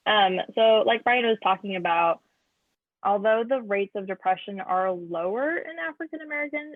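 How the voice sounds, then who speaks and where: A woman's voice: mid-range voice, dry recording. Casting: female podcast moderator, conversational, dry sound through a narrow, phone-like line. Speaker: podcast host. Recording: thin, phone-like call audio.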